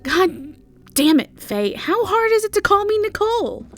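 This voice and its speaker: Woman acting a brisk, chatty witch innkeeper, raised pitch. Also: very animated, heavily acted. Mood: exasperated. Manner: casual, hesitant with ums, sweary. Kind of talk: audio drama character acting.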